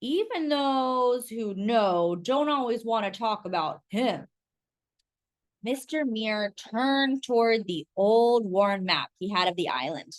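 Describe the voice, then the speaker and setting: A woman reading a children's picture book aloud with expressive, animated narration and quiet asides. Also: on the high side, takes it slowly. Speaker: storyteller.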